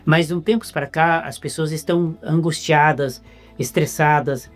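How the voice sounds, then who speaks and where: Halting Brazilian male interviewer. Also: a little high, fairly even intonation, faint music behind. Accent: Brazilian Portuguese. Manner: halting, repeats words while finding them. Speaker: interviewer host. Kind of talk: casual interview chat.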